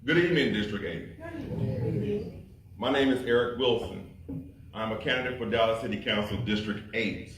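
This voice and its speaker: A man's voice: lowish voice, moderate pace, pauses often. A man running for city council who gives a confident opening statement, listing his credentials at a public forum. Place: community hall. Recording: echoey hall mic from a distance, light noise.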